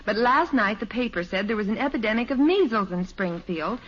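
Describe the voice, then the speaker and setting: Lively, placating American radio mom, played by an actress. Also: female voice, medium pitch, fairly quick, close to an everyday voice. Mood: soothing. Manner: placating, mild, solicitous. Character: gentle, soothing middle-aged housewife and mother. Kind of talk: 1950s radio sitcom acting. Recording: dull, noisy old radio broadcast recording.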